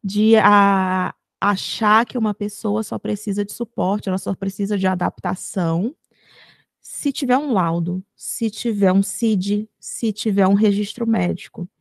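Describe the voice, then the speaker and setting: A woman's voice: medium pitch, fairly even intonation, measured pace, creaky, no echo. A Brazilian woman co-hosting a podcast, arguing her point in an earnest, emphatic way. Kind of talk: casual podcast discussion.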